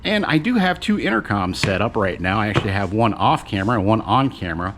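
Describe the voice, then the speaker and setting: A man's voice: lowish voice, sing-song, fast, noisy background. A man casually presenting and explaining a gadget in an unscripted, rambling, conversational way as a video host. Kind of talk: casual unscripted product demonstration.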